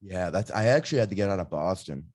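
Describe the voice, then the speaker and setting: Male comedy podcast host, low-pitched, rambling and provocative, close dry mic. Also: animated, fast talker, a little mellow, dry recording. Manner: rambling, provocative, heavy use of "like". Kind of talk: casual chat.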